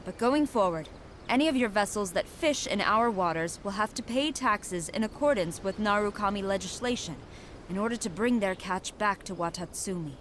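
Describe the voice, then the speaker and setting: A woman's voice: medium pitch, expressive, moderate pace, fairly bright tone, a little hiss or hum, natural voice. Woman playing a stern military commander. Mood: firm. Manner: firm, formal, businesslike. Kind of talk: video game voice acting.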